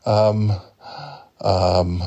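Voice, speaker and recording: A man's voice: low voice, level tone, drawn-out words, mellow, muffled recording, voice pushed down, heavily acted. A man playing a flustered, polite podcast host, hesitant and apologetic, stammering through a whimsical audio story. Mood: awkward, welcoming.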